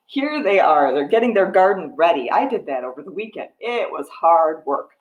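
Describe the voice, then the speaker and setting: A woman's voice: fairly low pitch, sing-song, normal speed, echoey room, phone-quality sound. A middle-aged American woman talking to young children as a storytime librarian, with simple explanations and questions. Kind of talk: picture-book read-aloud and craft talk to young children. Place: room at home with wooden floor and piano. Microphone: device microphone at about arm's length.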